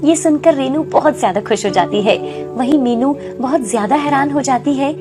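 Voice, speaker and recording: A woman's voice: high voice, normal speed, over music. A woman narrating a scripted moral story.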